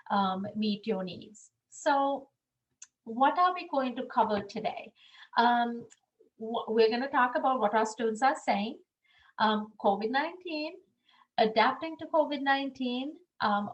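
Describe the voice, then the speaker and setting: A woman's voice: fairly high pitch, low-quality recording. A middle-aged woman from Sri Lanka, a university admissions staff member, giving an earnest webinar presentation over a video call with frequent ums.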